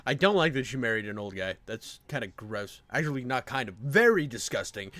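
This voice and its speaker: A man's voice: mid-range voice, big pitch swings, fairly quick, a bit bright, no room sound. A man giving casual reaction commentary in a sarcastic, exasperated, crude and slangy way. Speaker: reaction video host.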